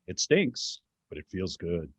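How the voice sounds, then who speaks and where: An actor playing a wry, flippant voice in a collector's head, dry, husky and sardonic, in a staged reading. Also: male voice, low voice, sing-song, measured pace, no echo, exaggerated voice. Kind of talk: theatrical staged reading, comic dialogue.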